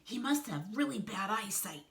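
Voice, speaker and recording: A woman voicing an excitable, theatrical male wolf who leads a gang in a children's storybook. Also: mid-range voice, normal speed, creaky. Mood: hushed, puzzled. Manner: exclaiming, pleading, theatrical. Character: excitable male wolf gang leader, dramatic and easily dismayed.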